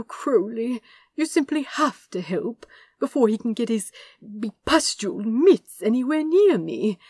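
A woman's voice: mid-range voice, a little mellow, slightly rough, dry recording, clean studio sound, full character voice. A woman reading aloud and voicing a prim, polite male angel with a British accent, fussy and old-fashioned in his phrasing.

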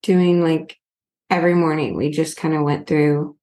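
A woman's voice: deep voice, level tone, moderate pace, dark tone, roomy sound. A woman co-hosting a relationship podcast, talking earnestly in a chatty way with many fillers. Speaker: podcast co-host. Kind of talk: casual couple chat.

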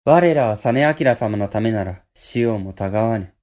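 An actor playing a devoted, solemn samurai retainer in a Japanese period audio drama, with terse, formal, archaic speech. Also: male voice, on the low side, animated, moderate pace, darkish tone, close to an everyday voice. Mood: grave, firm.

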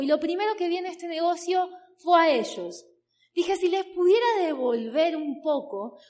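A woman in her thirties with an Argentine accent from the Buenos Aires area, telling her personal story as a motivational speaker at a business event, emphatic and stacking long repeating lists. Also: high-pitched. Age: 30s. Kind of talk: personal story told from a stage. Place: stage before a live audience.

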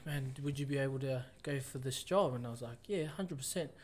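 A man's voice: medium pitch, a little fast, slight background noise. A young man, a youth worker talking casually with many fillers. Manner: laid-back, many fillers. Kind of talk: casual chat.

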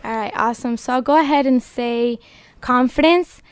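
A woman's voice: a little high, moderate pace, smooth, no room sound. A woman in her twenties from the Dominican Republic, a podcast guest speaking earnestly and passionately about her faith. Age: 20s. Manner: earnest and passionate, exhorting listeners. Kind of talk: casual faith-based podcast talk. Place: studio.

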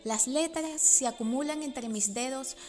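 A woman in her forties with a Venezuelan Spanish accent, reading her own personal essay in a reflective, measured way. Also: high voice, faint music behind. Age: 40s. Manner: reflective, measured, with soft laughs. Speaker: podcast host and writer.

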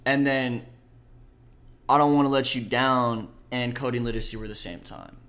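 Young male musician, soft-spoken and slangy, relaxed casual chat. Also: mid-range voice, normal speed. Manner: laid-back slangy talk, lots of "like" and "bro". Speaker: musician talking about his EP.

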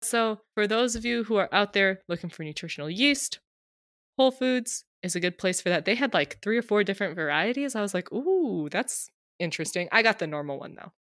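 A woman's voice: mid-range voice, expressive, a little fast, fairly bright tone, no room sound, studio quality. Stuffy-nosed young woman, chatty podcast host. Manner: chatty, addresses listeners directly. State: stuffy nose from a cold. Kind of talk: casual chat.

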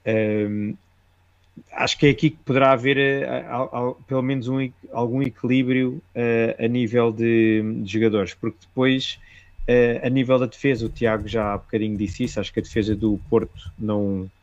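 A man with a European Portuguese accent giving a measured, hesitant analysis on a casual panel. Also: mid-range voice, fairly even intonation, no room sound. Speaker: football pundit. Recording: dull lo-fi dry sound.

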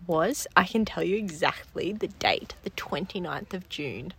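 A woman's voice: on the low side, animated, a little slow, a bit bright, husky. A young woman co-hosting a podcast, bubbly and giggly, talking straight to her listeners. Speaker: podcast co-host. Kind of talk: casual chat.

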